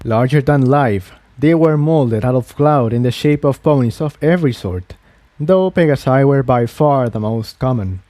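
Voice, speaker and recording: A man's voice: mid-range voice, animated, normal speed, mellow, no room sound. A man reading a fantasy adventure story aloud as a scripted narrator. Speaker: narrator, fan fiction reader.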